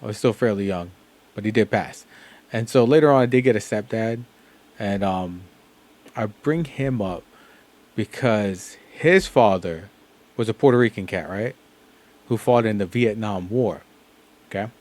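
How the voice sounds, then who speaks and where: A man's voice: on the low side, measured pace, pauses often, a little mellow. A man from the United States hosting a reaction video, talking in a loose, slangy way with lots of fillers and restarts. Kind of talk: casual reaction commentary.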